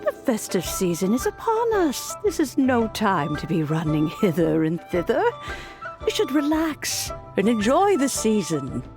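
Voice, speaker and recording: A fond old granny, lilting voice. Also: female voice, mid-range voice, wildly swooping pitch, music in the background. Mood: fond, chiding. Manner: unhurried, fond, gently teasing. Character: gentle, teasing old granny at a festival. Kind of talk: video game voice acting.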